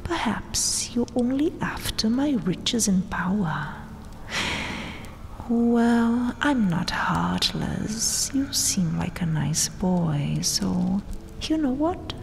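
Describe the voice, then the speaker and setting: A woman voicing a haughty, gloating vampire queen in a breathy, taunting whisper for an ASMR roleplay. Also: medium pitch, animated, exaggerated voice. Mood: teasing, amused. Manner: taunting, mock-sweet, haughty, drawn-out delivery. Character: domineering, gloating vampire queen, regal conqueror. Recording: long cavernous reverb, faint hiss. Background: dark tomb ambience.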